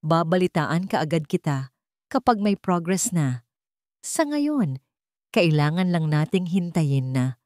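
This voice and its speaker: A woman's voice: lowish voice, big pitch swings, unhurried, long pauses, darkish tone, no room sound. A scheming, unfaithful husband sweet-talks his lover in an audiobook drama, played by a woman narrator. The delivery is tender and coaxing, recorded dry with no room sound.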